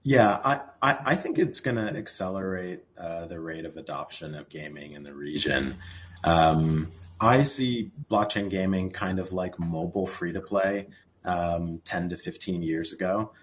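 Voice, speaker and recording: A man with a very low voice answers on a conference panel, laying out his view in a measured, explanatory way. Faint noise sits behind him.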